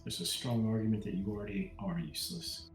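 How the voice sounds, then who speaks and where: A man's voice: on the low side, fast talker. A man bantering casually as a player in a tabletop game, recorded in an echoey room. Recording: echoey room, music behind. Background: background music.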